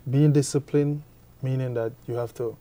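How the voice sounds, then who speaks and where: A young man from Guyana being interviewed about his cricket, answering haltingly and often repeating his words. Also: medium pitch, steady tone, mellow. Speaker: cricketer guest.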